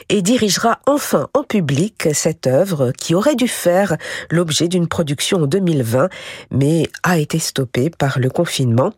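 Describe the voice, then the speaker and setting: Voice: mid-range voice, no echo, studio quality. Radio announcer reading culture news. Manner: smooth, even announcing. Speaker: radio presenter. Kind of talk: scripted news bulletin reading. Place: radio studio.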